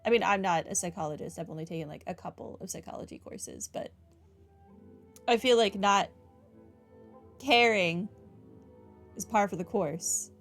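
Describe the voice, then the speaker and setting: A woman's voice: medium pitch, moderate pace, pauses often, a bit bright. Woman giving casual gameplay commentary over music. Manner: musing, conversational, opinionated. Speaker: gaming host, player. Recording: game music behind the voice. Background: game music.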